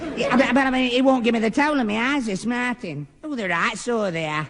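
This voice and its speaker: A man's voice: very high-pitched, brisk, fairly bright tone, some chatter behind. A man playing a hapless, dim young man in a 1960s radio sitcom, bumbling and stuttering. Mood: flustered, apologetic. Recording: echoey hall, faint noise, old radio.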